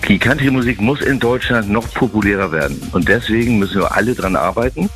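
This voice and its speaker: A middle-aged man from Hamburg, calling in to a radio show, eager and halting with many fillers. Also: on the low side, a bit bright, faint music behind. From Hamburg, Germany. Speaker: radio listener, caller. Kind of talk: casual phone chat.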